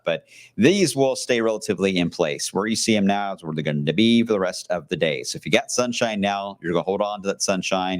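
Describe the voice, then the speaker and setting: A man giving a live TV weather forecast from a shopping outlet, in a loose, chatty way with fillers and asides. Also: sits low. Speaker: TV meteorologist.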